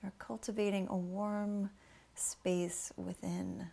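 A woman instructor guiding a slow breathing meditation, often dropping to a soft whisper as she coaches. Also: mid-range voice, level tone, gravelly, slightly rough.

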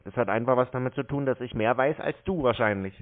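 A man's voice: medium pitch, quick pace. A man arguing over the phone, blunt, accusatory and insistent. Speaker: scam-baiting caller. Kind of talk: confrontational phone conversation. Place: phone call. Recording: tinny, narrow-band phone line.

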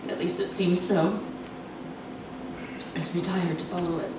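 An actress performing a theatrical monologue as a lonely, nervous, confined wife slipping into obsessive madness, halting and dramatic with drawn-out words. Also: female voice, on the low side, little pitch movement, natural voice. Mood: agitated, fixated. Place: live performance in a cafe venue. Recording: very echoey room, distant mic, noisy.

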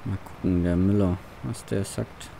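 Low-voiced young man narrating gameplay. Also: steady tone, moderate pace, gravelly, mellow, slight background noise. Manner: chatty, laughs between phone calls. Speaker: let's play roleplay host. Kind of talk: casual gameplay commentary.

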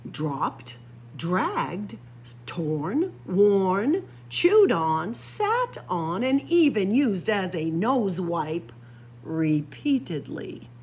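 A woman reading a children's picture book aloud expressively, with dramatic pauses and drawn-out emphasis. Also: medium pitch, very animated, darkish tone, breathy edge. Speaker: library story-time reader. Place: library room with bookshelves.

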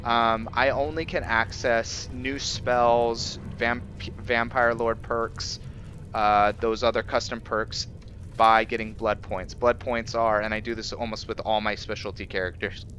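A man giving casual gameplay commentary as host, thinking aloud in a halting way. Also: mid-range voice, little pitch movement, a bit bright, slight background noise. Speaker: gaming video host.

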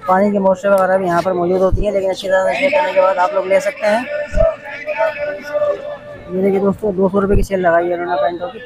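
High-voiced man touring a street market. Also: little pitch movement, quick pace, crowd noise, low-quality recording. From Pakistan. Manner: polite, addresses viewers as friends. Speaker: market vlogger. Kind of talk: casual walking tour vlog.

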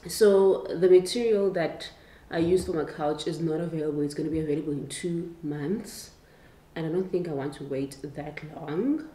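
Mellow South African woman in an echoey room. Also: sits low, moderate pace. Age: young adult. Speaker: lifestyle vlogger. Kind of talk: casual chat to camera. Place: indoors at home, kitchen and bedroom. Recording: roomy echo, clear background noise.

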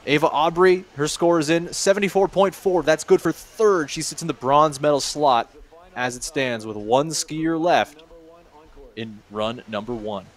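A man calls a freestyle ski event live, his voice sitting a bit high for a man. He talks in a steady, informative way, reading out scores and naming the tricks as they land. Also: unhurried. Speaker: play-by-play commentator. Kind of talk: live sports commentary.

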